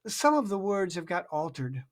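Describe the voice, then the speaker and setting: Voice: mid-range voice, big pitch swings, voice pushed up, close to an everyday voice. A parent reading aloud, voicing a polite, anxious young girl in a raised voice that stumbles over words. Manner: raised, hurried, slightly stammering.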